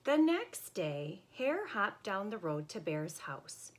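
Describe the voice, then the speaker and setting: A woman reading a children's picture book aloud in an expressive, deliberate, emphatic way. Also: medium pitch.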